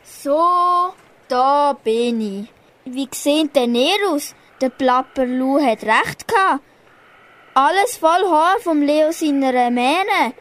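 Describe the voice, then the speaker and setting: A woman's voice: sits high, expressive, slow, a bit bright, heavily acted. A schoolgirl with a Swiss German accent playing confident, helpful animal characters in a children's radio play. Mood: confident, calm. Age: child or young teen pupil. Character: confident, helpful monkey hairdresser and animal friends.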